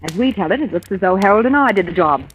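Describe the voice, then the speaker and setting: A woman's voice: medium pitch, lively intonation, fast talker, close to an everyday voice. A woman playing a spirited, assertive young lady suspect in a 1940s radio drama. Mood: defensive. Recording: noisy, crackly old radio recording.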